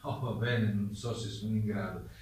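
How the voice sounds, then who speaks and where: A man's voice: on the low side, fairly even intonation, normal speed, darkish tone, lightly acted. An actor as a distant, echoing, evasive male witness. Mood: careful, polite. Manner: hesitant, noncommittal, formal answers. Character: evasive, polite male writer testifying before a commission. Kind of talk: stage courtroom drama dialogue. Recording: distant, very echoey stage recording, faint noise.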